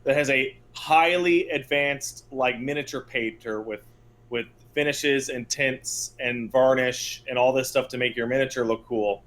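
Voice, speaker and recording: A man's voice: mid-range voice, unhurried, a bit bright, slight background noise. A man co-hosting a podcast with wry, mocking, profane commentary on games, acting out imagined quotes. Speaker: podcast co-host.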